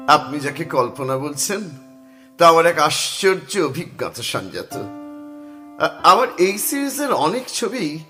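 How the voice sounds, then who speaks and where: A man's voice: a little high, sharp tone, exaggerated voice. An actor playing a middle-aged painter who muses aloud, mixes in English phrases and narrates his own story. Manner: musing, self-talking, mixes English phrases. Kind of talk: radio drama acting and first-person narration.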